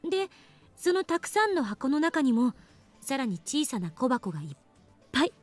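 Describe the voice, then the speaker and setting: High, very fast young woman scientist. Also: very animated. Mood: brisk, explaining. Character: young woman scientist, brisk, confident explainer. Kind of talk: anime visual novel voice acting. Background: faint game music.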